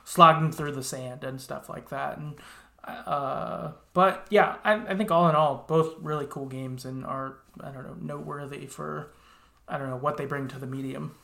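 A woman's voice: low-pitched, fairly even intonation, moderate pace, creaky, bright, forceful tone. A woman in a casual game review chat, speaking in an agreeable, tentative, conversational way. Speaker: podcast co-host discussing video games. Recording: roomy, echoing sound.

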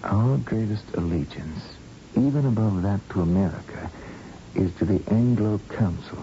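An actor as an earnest, low-voiced male scientist, old radio. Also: moderate pace, warm tone, close to an everyday voice. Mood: grave, secretive. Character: earnest, secretive male research scientist on a colonized planet. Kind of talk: old-time radio drama acting. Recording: dull, noisy old radio recording.

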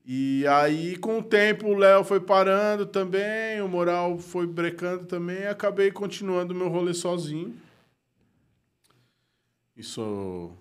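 Slangy São man telling stories. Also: high voice. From Brazil. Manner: slangy storytelling, laughs often, drops to a mutter. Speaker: guest graffiti artist. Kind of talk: casual chat.

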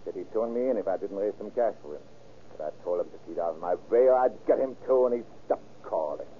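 A cocky, mean-streaked murderer acted by a man chats breezily in slang about his crimes, without remorse. His pitch swings widely in classic radio crime acting. The recording is thin, tinny and faintly noisy. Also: lively intonation, fast talker, lightly acted. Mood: casual confessing. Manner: breezy, unrepentant, folksy slang. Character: cocky, mean, stubborn laughing killer, casually confessing.

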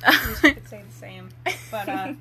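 A woman's voice: medium pitch, normal speed, crowd noise. A young woman in casual comic banter, teasing and sarcastic, reacting to a friend's story. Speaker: co-host, best friend of the author.

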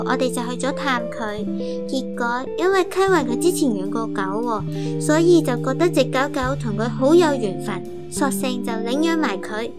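A high, youthful Hong Kong Cantonese voice from an actress playing a university student telling her story. She speaks in light audio drama style, with loud guitar music underneath. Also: natural voice. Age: young adult. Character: young female university student recounting life with roommates. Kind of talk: light radio drama acting and narration. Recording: acoustic guitar music behind the voice. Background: loud plucked guitar music.

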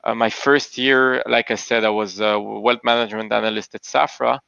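Man from Belgium, 30s, low and gravelly, thoughtful with many fillers, dull dry recording. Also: fairly even intonation, moderate pace, pushed and bright, no echo. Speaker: podcast guest, tech investor. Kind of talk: interview answers.

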